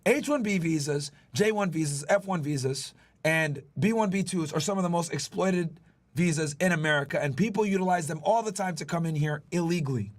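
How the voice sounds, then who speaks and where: A man's voice: on the high side, brisk. A man hosting a livestream explainer, talking emphatically with casual swearing, repeated points and frequent check-ins with his listeners. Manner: checks in with listeners using "right?", "okay?". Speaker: livestream host, former federal agent.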